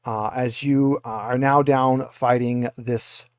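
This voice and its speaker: A man's voice: mid-range voice, fairly even intonation, takes it slowly, clean tone, no room sound, studio quality. A man acting as game master, casually narrating a tabletop roleplaying session and giving rulings.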